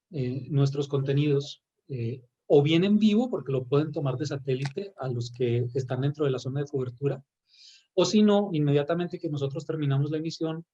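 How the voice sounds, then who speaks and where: A man talks through his project on a video call, pausing and restarting often. His voice sits a little high and mellow. The call audio is muffled and dull. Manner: hesitant, many restarts. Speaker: panelist. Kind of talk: online panel talk.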